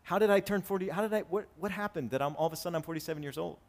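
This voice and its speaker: A man in his forties preaching a sermon to his congregation, swinging between raised, emphatic lines and quiet, conversational asides. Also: high-pitched, very fast. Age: 40s. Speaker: pastor. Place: church sanctuary with congregation.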